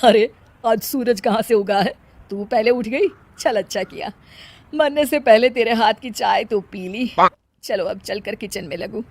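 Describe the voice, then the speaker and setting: A woman's voice: fairly high pitch, unhurried, a little mellow. A woman voicing a weary, nagging elderly mother-in-law in a cartoon story, grumbling and scolding. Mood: surprised, wry.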